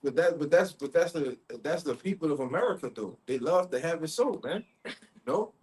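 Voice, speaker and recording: Higher-voiced male street preacher. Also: animated, fairly quick, a little mellow. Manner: repeatedly checks in with "all right?" and "you see?". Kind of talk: impromptu street preaching, expounding scripture.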